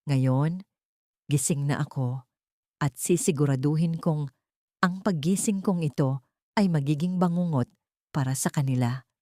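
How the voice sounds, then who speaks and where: A woman's voice: deep voice, unhurried, long pauses, warm tone, dry recording, studio quality. A woman voicing a betrayed woman bent on revenge, speaking coldly and firmly in an audio drama story. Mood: cold resolve. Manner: cold, firm, emphatic.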